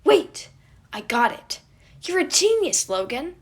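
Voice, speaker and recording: Woman playing a loud, boastful young prince. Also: high voice, sing-song, slow, pitched above the performer's own voice, full character voice. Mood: excited. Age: teenage. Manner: grandiose, exclamatory. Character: bold theatrical young prince, boastful and dramatic.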